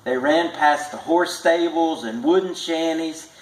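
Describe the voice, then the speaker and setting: Slow-paced male storybook reader in echoey room. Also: fairly high pitch. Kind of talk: read-aloud tall tale narration.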